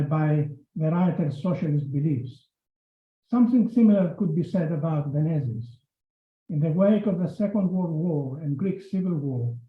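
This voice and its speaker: A man delivering an academic talk in a fairly high voice, measured and stopping now and then to correct himself. The sound is thin and phone-like, with echo from a room. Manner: measured, with frequent hesitations and restarts. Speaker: academic panel speaker. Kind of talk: reading a prepared lecture. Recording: echoey room over a phone-like line.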